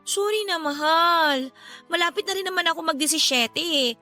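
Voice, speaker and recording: Radio drama: lovestruck Filipino teen girl, clingy and pleading, very high, bright voice. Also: normal speed, soft background music. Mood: sheepish, coaxing. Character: lovestruck sixteen-year-old girl pleading with her older boyfriend.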